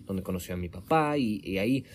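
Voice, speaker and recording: A man with a fairly low voice talks in a relaxed, conversational way, often correcting himself and restarting his sentences. Faint background noise sits under his voice. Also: a little fast. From Mexico. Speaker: interviewer. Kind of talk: casual conversation. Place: home room in Sweden.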